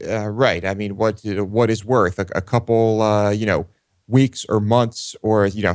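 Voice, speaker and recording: A man co-hosting a talk show, giving opinions casually and haltingly as he pieces his thoughts together. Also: lowish voice, no echo, clean studio sound. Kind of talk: casual unscripted discussion.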